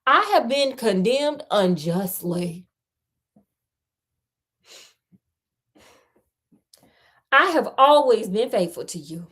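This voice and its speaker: A woman's voice: mid-range voice, lively intonation, measured pace, a bit bright. A woman voicing a king's loyal male servant in a fairy tale, solemn, earnest and formal. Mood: wronged, earnest.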